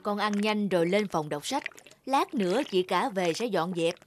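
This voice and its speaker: A woman's voice: medium pitch, lively intonation, moderate pace, voice pushed down, natural voice. A scheming, middle-aged fairy tale stepmother, acted out by a woman storyteller, commanding and scolding. Music plays behind the cartoon voice. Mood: doting, scheming. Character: cruel, scheming middle-aged stepmother who dotes on her own child.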